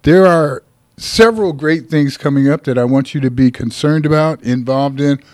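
A man's voice: fairly high pitch, moderate pace, a little mellow, no echo. Man, radio host, folksy, rambling, teasing, dry studio sound. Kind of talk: casual studio talk show. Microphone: studio microphone.

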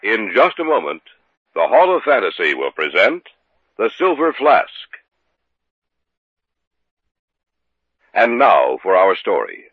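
Echoey ominous old-time radio horror host. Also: male voice, on the low side, wildly swooping pitch, moderate pace. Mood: solemn. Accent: American. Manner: solemn, theatrical. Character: ominous, grandiose male host of supernatural tales. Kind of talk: 1950s American radio drama narration. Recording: echoey hall, dull 1950s radio recording.

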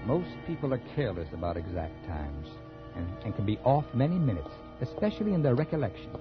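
An American actor playing a smooth, calculating murderer in a 1940s radio suspense drama, confiding and deliberate with studied nonchalance. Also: male voice, mid-range voice, big pitch swings, lightly acted. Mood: coolly scheming. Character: calculating male murderer, smooth and feigning casual ease. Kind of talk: 1940s radio acting, first-person suspense narration. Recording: dull 1940s radio recording with music.

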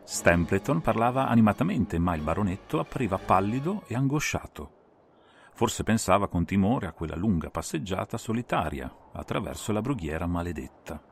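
A man's voice: deep voice, sing-song, normal speed, vocal fry. A man reading dramatic first-person mystery narration as an audiobook narrator. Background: atmospheric music.

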